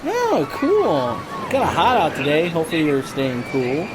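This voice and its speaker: Higher-voiced man hosting a noisy call. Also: wildly swooping pitch, moderate pace, crowd noise. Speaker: podcast host. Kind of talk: casual phone interview. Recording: noisy phone call with outdoor ambience.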